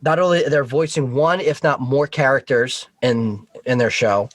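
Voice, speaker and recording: A man chatting casually as a podcast guest, explaining things in a halting, discursive way with restarts and asides. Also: mid-range voice, no room sound. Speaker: podcast guest, audio drama creator. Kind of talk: casual interview chat.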